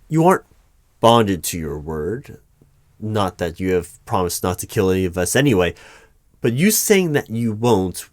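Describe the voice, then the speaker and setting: A man playing a wary, unflappable hiring manager in an audio roleplay interview, speaking in a measured, formal, wordy way with hesitant pauses. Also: sits low, dry recording, studio quality. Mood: firm, unimpressed. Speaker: roleplay performer. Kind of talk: one-sided ASMR audio roleplay interview.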